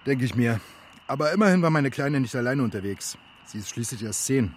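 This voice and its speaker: A man's voice: mid-range voice, expressive, a little fast, slightly rough. An actor playing a jovial middle-aged fairground father in a children's radio play. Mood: relaxed, teasing.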